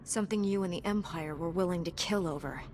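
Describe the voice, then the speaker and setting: A woman playing a calm, dutiful Jedi Knight heroine in a video game, with an earnest, composed delivery. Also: lowish voice, a little fast, a little hiss or hum, natural voice. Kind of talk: scripted video game dialogue.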